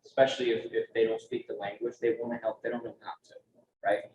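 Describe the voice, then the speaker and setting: A man's voice: mid-range voice, level tone, fast talker, crowd noise. A young adult man speaking earnestly on a conference panel, with a conversational style and frequent tag questions. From United States. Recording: roomy, dull, faint background noise.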